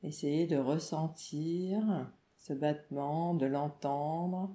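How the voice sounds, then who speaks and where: A woman meditation teacher leading a guided relaxation in a calm, soothing, instructional way, with short phrases and pauses. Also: low voice. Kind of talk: guided yoga nidra relaxation narration. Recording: roomy, echoing voice recording.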